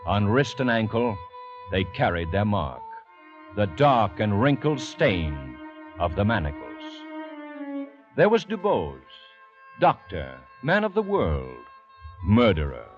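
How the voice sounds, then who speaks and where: A grave storyteller narrates a vintage radio play, slowly and with hugely swooping, theatrical intonation. Brass-led music plays behind the dull old recording. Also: male voice, fairly low pitch, wildly swooping pitch, heavily acted. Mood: grave, dramatic. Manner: measured, ominous, theatrical. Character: grave storyteller of an adventure tale. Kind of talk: dramatic scripted radio narration. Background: orchestral music with brass.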